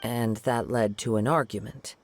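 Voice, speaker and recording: A man voicing a calm, courteous young wandering swordsman in a game, speaking in a polite, reassuring way. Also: mid-range voice, normal speed, noisy background, natural voice. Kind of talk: game cutscene voice acting.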